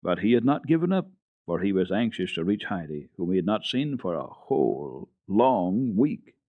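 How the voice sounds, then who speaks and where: Man with a lowish voice reading a kids' book aloud on radio, slow and expressive, dry sound. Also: dry recording, phone-quality sound. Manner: unhurried, expressive reading with occasional hesitations. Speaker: storyteller on a children's radio program. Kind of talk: dramatic reading of a children's book.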